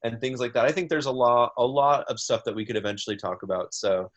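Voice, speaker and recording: A man's voice: mid-range voice, quick pace, a bit bright. Nasal, easygoing man. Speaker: podcast co-host. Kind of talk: casual chat.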